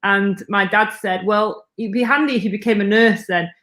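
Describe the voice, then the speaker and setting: A British woman in her forties, a nurse, chats as the guest on a video call. Her voice sits at a middling pitch. She tells her story in a chatty, easygoing way and laughs easily. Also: steady tone, normal speed, a bit bright. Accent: British English. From England. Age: 40s. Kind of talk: casual interview.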